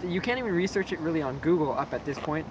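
A young man rambling through a creepy story with lots of fillers, recorded in a dark room on a camera a few feet away. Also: a little high, animated, quick pace, muffled recording. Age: young adult. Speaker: co-host. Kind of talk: casual storytelling. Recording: noisy camera audio.

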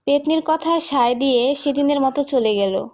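A woman with a high voice narrates a folk tale for a cartoon. Her delivery is scripted storytelling. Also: moderate pace, a little mellow.

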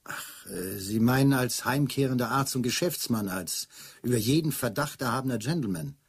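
An actor playing an impatient, sardonic Scotland Yard superior in a radio play, commanding and wry. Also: male voice, medium pitch, expressive, normal speed, husky. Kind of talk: German radio play dialogue.

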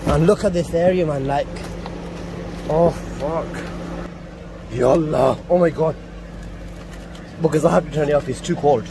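A man's voice: fairly high pitch, a little slow, pauses often. A young man in his twenties, a warehouse supervisor, talking to his phone as he works, rambling and muttering to himself. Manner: rambling, self-talking, swears under breath. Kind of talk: casual work vlog. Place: warehouse and outdoor yard. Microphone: handheld phone at arm's length. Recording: noisy phone audio with traffic.

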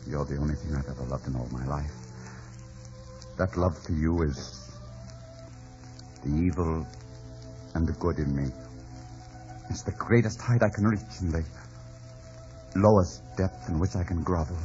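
An actor playing a bitter, passionate, tormented lover, intense and theatrical, in radio drama style. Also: male voice, rumbling low, unhurried, long pauses, warm tone. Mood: impassioned. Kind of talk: 1950s radio drama acting. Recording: dull old radio broadcast, music behind.

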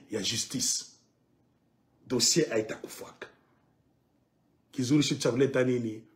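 A middle-aged man, delivering an impassioned, emphatic political monologue to camera at home. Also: medium pitch. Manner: emphatic, animated, sweeping arm gestures. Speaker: political commentator. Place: living room at home, indoors.

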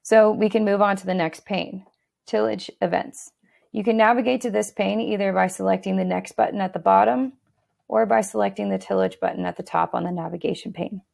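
A woman with a heavily gravelly, fry-laden voice walks through a software tool step by step. Her delivery is steady and instructional, at a middle pitch. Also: brisk. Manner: steady instructional tone with occasional fillers and restarts. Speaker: software tutorial narrator. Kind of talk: screen-recorded software walkthrough.

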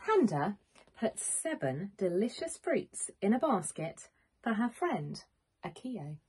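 Husky, expressive woman storyteller. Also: mid-range voice, slow pace. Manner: expressive, questioning, clearly addressing small children. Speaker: primary school teacher. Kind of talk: interactive picture-book story time for young children. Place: small home room with bookshelves, indoors.

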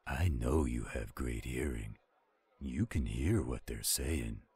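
A man's voice: a bass voice, animated, takes it slowly, a little mellow, breathy edge, no room sound, full character voice. A man playing a pushy, loyal friend in a roleplay audio drama, steadily teasing and insisting. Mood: teasing, insistent.

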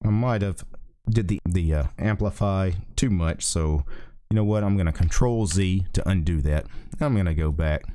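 A middle-aged man with a very low, dark voice, talking through software steps in a relaxed way and restarting his sentences now and then. He records at a desk microphone in a small home office, and the sound is dry and close. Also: normal speed, no echo. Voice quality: deep voice. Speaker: tech tutorial host. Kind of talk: casual screen-recorded software tutorial. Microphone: desk USB microphone.

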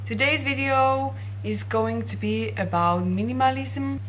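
A woman's voice: on the high side, measured pace, fairly bright tone, a little hiss or hum. A young woman from Slovakia with a Slovak accent, talking straight to camera in a vlog and gesturing with her hands as she explains. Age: young adult. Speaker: vlogger. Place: bright indoor office room.

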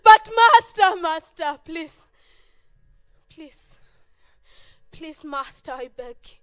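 A woman acts out a desperate mother on a church stage. She pleads through tears in a very high, bright voice and repeats herself slowly, as if begging. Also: expressive, heavily acted. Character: desperate mother of a possessed child. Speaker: actor in a church Easter play. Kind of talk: amateur stage acting.

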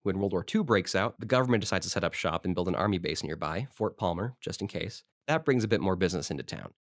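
A man playing a low-voiced, chatty local historian. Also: animated, quick pace, no echo, studio quality. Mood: eager storytelling. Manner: informal, rambling storyteller. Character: male small-town historical society president, eager, chatty local. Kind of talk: conversational audio drama monologue.

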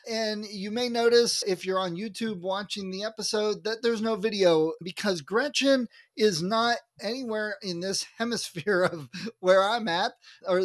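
A man, hosting a podcast interview in a casual, conversational style. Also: high-pitched. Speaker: podcast host.

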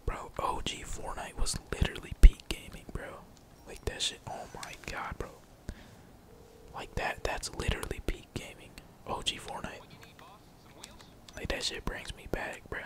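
A young man whispers casual gameplay commentary in a breathy, unvoiced voice, rambling along in slangy, profane language. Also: a little slow. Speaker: gaming streamer.

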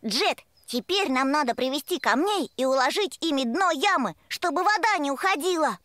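A woman's voice: sits high, expressive, moderate pace, fairly bright tone, no echo. A woman voicing a friendly, helpful young truck in an educational cartoon, eagerly addressing small children.

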